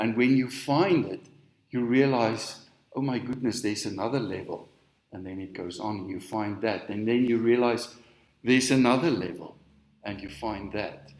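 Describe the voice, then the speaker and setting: A man's voice: mid-range voice, reverberant. Earnest Afrikaans-speaking man preaching. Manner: earnest, slow and emphatic. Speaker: spiritual teacher. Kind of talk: sermon. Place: on stage in a hall.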